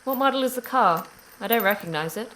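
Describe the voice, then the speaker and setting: A woman playing a terse, dry-witted station manager in an audio drama, with deadpan humour. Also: on the high side, brisk, slight background noise, low-quality recording. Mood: dry, wry. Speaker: voice actor in a fiction podcast.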